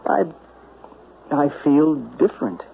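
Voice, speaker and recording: A calm literary agent speaks earnestly, in soft, halting phrases. His voice has a gravelly edge and moves a lot in pitch. The sound is thin and tinny like an old broadcast, with a faint hiss behind it. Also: heavily acted. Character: calm, newly peaceful male literary agent. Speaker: Dick Harrison, a literary agent. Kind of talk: 1970s radio drama acting.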